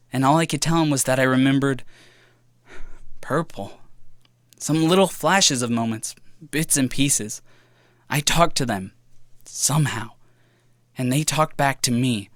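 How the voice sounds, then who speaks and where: An actor playing a young man haunted by memory gaps, talking to himself in a halting, self-questioning audio drama monologue. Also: mid-range voice, no room sound, studio quality, close to an everyday voice. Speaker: lead character's monologue. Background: music.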